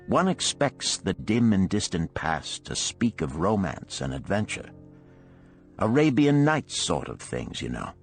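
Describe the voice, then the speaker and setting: Genteel 1930s academic read by a male narrator, low-pitched, mannered, chatty and courteous, for an audiobook. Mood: pleased, astonished. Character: genteel, scholarly male British archaeology professor writing a letter.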